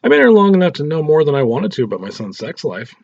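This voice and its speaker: A man's voice: on the high side, sing-song, fast, vocal fry, darkish tone, voice pushed up, full character voice. A man voicing a disapproving middle-aged mother, dry and exasperated, in a read-aloud story. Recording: roomy echo, dull lo-fi sound.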